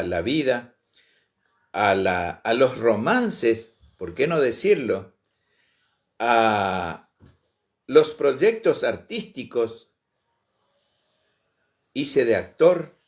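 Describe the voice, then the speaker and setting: A middle-aged man from Misiones, Argentina, telling his life story to online viewers with passion, slowly and with many pauses and fillers. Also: medium pitch. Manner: slow, passionate, pausing often with fillers. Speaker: Guaraní language and culture promoter.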